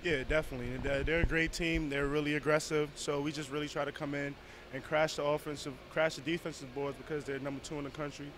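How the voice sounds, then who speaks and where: A young college basketball player giving quick, matter-of-fact postgame answers in a gym. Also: male voice, on the high side, level tone, a bit bright, slight background noise. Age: young adult.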